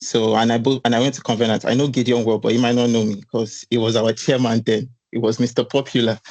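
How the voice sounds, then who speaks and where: A man from Nigeria speaks as a webinar attendee, warm in his thanks and rambling as he works up to a question. His voice sits at an ordinary middle pitch, and the sound is close and dry with no room echo. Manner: effusive and grateful, rambling. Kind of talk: casual spoken question in a webinar.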